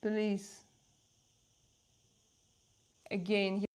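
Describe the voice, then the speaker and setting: Slow-paced woman with a Russian accent. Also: medium pitch, completely flat delivery, darkish tone, clear, resonant tone. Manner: halting, repeats phrases. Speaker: livestream host. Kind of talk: casual livestream chat answering viewers' comments.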